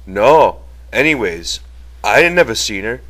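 A man's voice: on the low side, sing-song, measured pace, a bit bright, full character voice. Man voicing a meek, childlike simple man. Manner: simple, artless, childlike phrasing.

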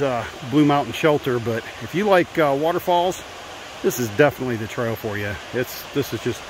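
A man vlogging casually while hiking a forest trail, chatting into his phone and sometimes out of breath. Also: medium pitch. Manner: chatty, narrating while walking. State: out of breath at times. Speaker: hiker vlogger. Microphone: handheld phone. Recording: faint wind and stream noise.

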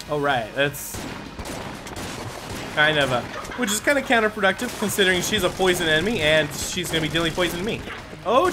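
A man giving casual, excitable commentary as he plays a video game, often talking to himself. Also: fairly high pitch. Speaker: gaming YouTuber. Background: game music and gunfire.

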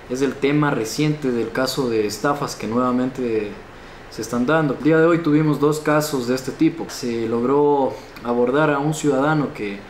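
A young man in his twenties with an Ecuadorian accent gives a formal, stiff official police statement for local news. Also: mid-range voice. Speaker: police chief, spokesman. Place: small indoor office, seated. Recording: echoey room with background noise.

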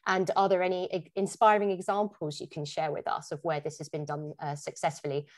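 A woman hosts an interview in a conversational style. Her voice sits a little lower than average. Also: level tone, brisk. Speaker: interviewer and host.

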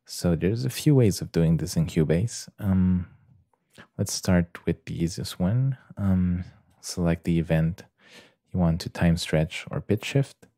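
A man presenting a casual software tutorial in a hesitant, halting way with many pauses. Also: low voice, no echo, studio quality.

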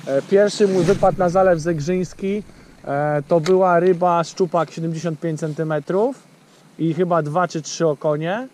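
A man in his thirties talks in a fairly high voice, recorded on an action camera in a small boat out on a lake. He chats easily with a friend and turns to the viewers, with plenty of fillers. Faint outdoor noise runs underneath. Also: fairly even intonation, moderate pace, a little mellow. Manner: chatty, addresses viewers directly, many fillers. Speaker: fishing channel host. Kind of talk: casual outdoor fishing vlog chat.

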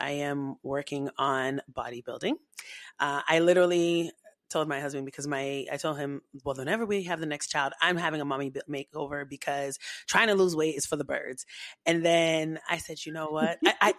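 A young woman from the United States, a podcast guest, talking in a chatty, animated way and laughing often. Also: deep voice, dry recording, clean studio sound. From United States, Haitian family. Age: young adult. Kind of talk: casual podcast interview.

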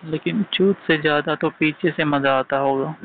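A man's voice: fairly high pitch, steady tone, normal speed. A man in a casual phone conversation, insistently asking short questions and repeating them. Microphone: phone call. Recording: tinny phone call with faint noise.

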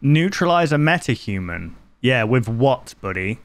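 Chatty excitable male reaction host. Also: mid-range voice, a little slow, dry recording. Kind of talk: reaction commentary.

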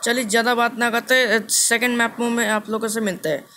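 A young man giving casual gameplay commentary as a video host, halting and repeating his phrases as he goes. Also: very high voice, flat delivery, sharp tone. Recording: clearly noisy game capture.

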